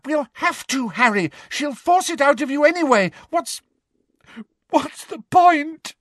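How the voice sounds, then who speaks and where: A man voicing an earnest, anguished teenage schoolgirl in a story, urgent, shrill and tearful. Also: measured pace, bright, forceful tone, no room sound, studio quality, heavily acted. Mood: desperate, tearful.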